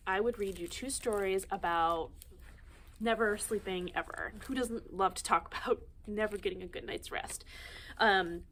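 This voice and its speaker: A woman's voice: mid-range voice, a bit bright. Female storytime reader, noisy recording. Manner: informal, conversational asides to young listeners. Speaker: library storytime host reading picture books aloud. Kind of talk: children's storytime read-aloud with chatty asides.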